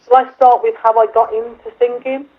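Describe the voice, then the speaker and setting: A woman singer with a deep voice, chatting on the phone in an interview, a bit hesitant and self-deprecating, with plenty of fillers. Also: little pitch movement, a little fast. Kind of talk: casual chat over the phone. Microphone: telephone. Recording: tinny phone line.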